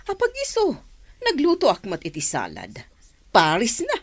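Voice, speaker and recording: A woman's voice: fairly high pitch, wildly swooping pitch, normal speed. A woman playing a coy, inviting village lady who coaxes her suitor in a comedy drama. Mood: coy, coaxing.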